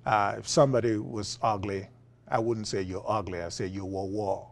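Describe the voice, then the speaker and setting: A man with a Liberian accent, a philosophy professor being interviewed, talking in a relaxed way with frequent fillers and chuckles. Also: on the low side, moderate pace, vocal fry. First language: Liberian English. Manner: chuckling, many uh fillers and restarts. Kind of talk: conversational interview.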